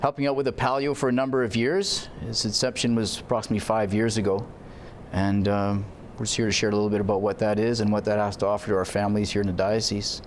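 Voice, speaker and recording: Low-voiced man, priest in an interview. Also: flat delivery, fast talker, slight background noise. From Canada. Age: 30s. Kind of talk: informal TV studio interview. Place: indoor TV studio. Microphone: lapel mic.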